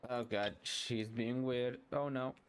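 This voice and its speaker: A man's voice: mid-range voice, fairly even intonation, slow, slight background noise. A man making dry offhand remarks while playing a video game. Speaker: game player. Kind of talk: let's-play commentary. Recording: game soundtrack behind.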